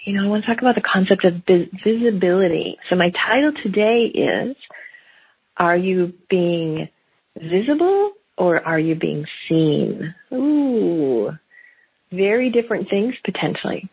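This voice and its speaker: A middle-aged woman with a slight cold and a gravelly voice hosts a solo business podcast, talking in an animated way and acting out quotes in lively voices. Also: medium pitch, measured pace, dry recording. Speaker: podcast host. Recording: phone-like narrow band, dry.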